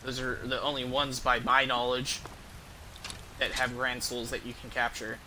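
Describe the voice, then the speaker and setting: A man's voice: medium pitch, completely flat delivery, normal speed, background noise. A man giving casual, rambling gameplay commentary in a hesitant, profanity-prone way. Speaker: game streamer.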